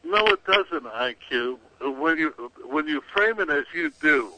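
A man who works as a reporter, talking on a radio show in a halting, hesitant way, with many restarts. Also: a little high, animated, very noisy. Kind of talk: radio talk show guest.

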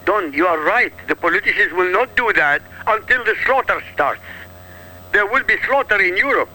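A man's voice: high voice, lively intonation, normal speed, pushed and bright, poor audio. A man from Iraq talking on a political talk radio show, emphatic and combative, in punchy short sentences.

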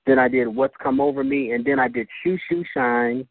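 A man, a singer, speaking as a radio guest, hesitant with many pauses and fillers. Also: extremely high pitch, sing-song, vocal fry, no echo. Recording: tinny phone line.

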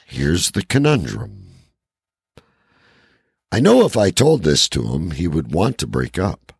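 A man's voice: sits low, huge pitch swings, a little slow, creaky, breathy edge, no room sound, clean studio sound. A man co-hosting a podcast in casual banter and letter reading, with a blunt, profane way of talking. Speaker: podcast co-host.

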